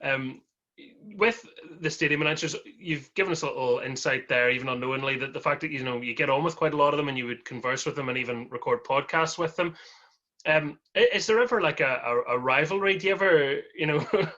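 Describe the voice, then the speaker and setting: Man, podcast host, friendly, laughs easily, bright tone, dull lo-fi recording. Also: fairly high pitch, brisk. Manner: friendly, chuckling, rambling questions. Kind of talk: casual interview chat. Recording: dull lo-fi audio.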